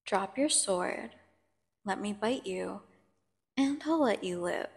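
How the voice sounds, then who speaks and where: A woman playing a menacing, seductive vampire prisoner in an ASMR audio drama, taunting and condescending with theatrical pauses. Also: mid-range voice, animated, creaky. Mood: threatening, seductive. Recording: long cavernous reverb.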